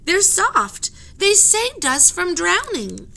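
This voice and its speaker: A woman reading aloud voices an excitable, tender-hearted young girl who pleads in a high, urgent way. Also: normal speed. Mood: pleading.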